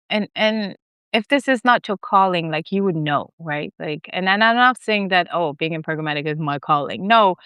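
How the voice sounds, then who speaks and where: A woman from Senegal in her thirties, co-hosting a podcast in casual, animated chat full of fillers and restarts. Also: on the low side, smooth, no room sound. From West Africa, Senegal. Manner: animated, lots of fillers and restarts, laughs often. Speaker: podcast co-host.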